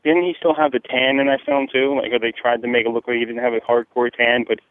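A man's voice: mid-range voice, quick pace, dry recording. Male caller from New Jersey, slangy and foul-mouthed, meandering delivery, tinny close telephone sound. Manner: profane, slangy, hesitant and rambling. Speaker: listener leaving a call-in message. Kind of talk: casual chat, rambling message. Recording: muffled, narrow phone-like line, dry.

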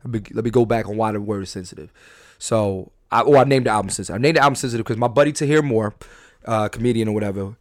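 Animated, stammering man hosting a podcast. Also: fairly low pitch, rapid-fire, no room sound, clean studio sound. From Philadelphia. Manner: animated, stammering restarts, swears freely. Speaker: podcast host. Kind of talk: casual podcast chat.

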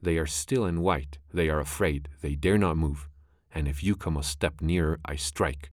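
Man playing a deep-voiced, hissing female cobra from a storybook, cold and vengeful. She drawls her threats slowly over a close, dry mic. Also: no echo. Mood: menacing.

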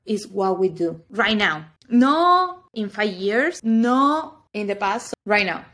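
A woman's voice: medium pitch, very animated, takes it slowly, fairly bright tone. A woman around thirty, a native Spanish speaker, chatting casually on a podcast in non-native English and laughing often. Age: 30s. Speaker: podcast co-host. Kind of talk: casual chat.

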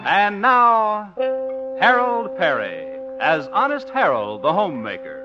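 A man's voice: high voice, huge pitch swings, takes it slowly, heavily acted. A man as a polished announcer narrating the scene for a 1950s radio comedy. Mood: upbeat, polished. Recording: dull old radio recording, music.

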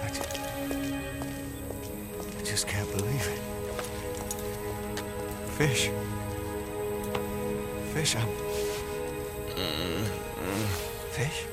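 An actor playing an earnest, protective small-town sheriff in an audio drama, speaking urgently and stammering under stress. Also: male voice, medium pitch, expressive, extremely slow, long pauses, loud music behind the voice. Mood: distraught.

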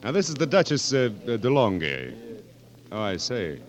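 A man's voice: medium pitch, very animated, normal speed, natural voice. An actor playing a wry, crafty king with a dry, hesitant manner in a 1940s radio drama. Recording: muffled 1940s radio broadcast.

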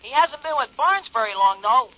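A man's voice: extremely high pitch, expressive, moderate pace, sharp tone, heavily acted. An actor playing an eager, plain-spoken male sidekick who passes on street news, in 1940s radio drama style. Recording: dull old radio recording.

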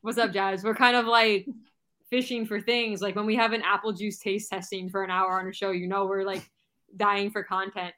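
A woman co-hosting a casual podcast from home, chatty and quick to laugh. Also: medium pitch, little pitch movement, bright, forceful tone. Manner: chatty, laughs often, restarts. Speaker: podcast co-host. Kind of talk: casual chat. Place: home apartment.

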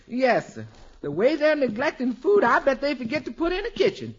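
A woman's voice: sits high, sing-song, a little fast, breathy edge, exaggerated voice. A woman playing a sassy, exasperated family cook in a 1950s radio comedy, folksy and wry. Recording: muffled vintage radio recording.

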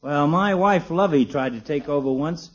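An actor playing a chatty, folksy, wisecracking small-town barber in a 1950s radio sitcom. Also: male voice, a little high, moderate pace, natural voice. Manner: talky, folksy, jokey. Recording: dull, lo-fi old radio recording.

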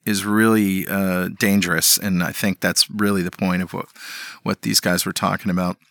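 Bright-voiced male podcast host. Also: deep voice, level tone, creaky, no echo. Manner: reads listener emails aloud, quick interjections. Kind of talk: casual podcast chat.

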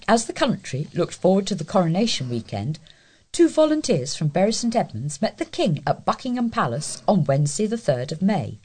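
A woman's voice: lowish voice, mellow, breathy edge. A woman reading scripted local news as a volunteer newsreader.

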